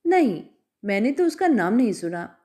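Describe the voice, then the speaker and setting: A woman's voice: a little high, very animated, normal speed, warm tone. A woman narrator voicing a defiant young college girl who answers back scornfully in a story reading. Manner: bold, scornful retorts.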